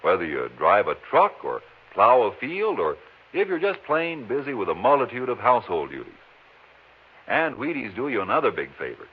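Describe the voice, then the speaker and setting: Male vintage radio pitchman: chatty, enthusiastic, hugely animated intonation, slightly husky voice. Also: moderate pace. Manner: folksy, chatty, enthusiastic selling. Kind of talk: 1950s radio advertising pitch. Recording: muffled old radio broadcast recording.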